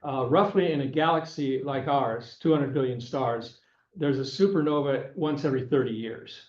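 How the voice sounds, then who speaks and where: An older man giving a classroom lecture, halting and repeating words, who is losing his voice and keeps clearing his throat. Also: mid-range voice. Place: lecture room. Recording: echoey room, dull lo-fi sound.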